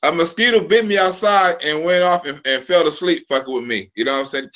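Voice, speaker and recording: High-voiced man in his forties, loose and profane. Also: a little fast, sharp tone. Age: 40s. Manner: loose, profane storytelling with repeated restarts. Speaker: live show host. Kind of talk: casual live-stream chat.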